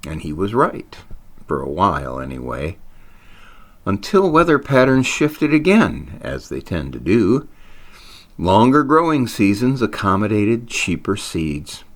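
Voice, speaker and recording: A man's voice: on the low side, big pitch swings, a little slow. A man reading a scripted story aloud as its narrator, with theatrical emphasis on wordplay and punchlines. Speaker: author reading own short story.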